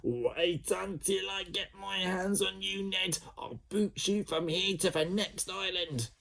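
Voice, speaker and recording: A male teacher voicing a bossy, furious girl in boots who shouts threats in a storybook reading. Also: high-pitched, slow pace, creaky, pitched above the performer's own voice, exaggerated voice. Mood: angry.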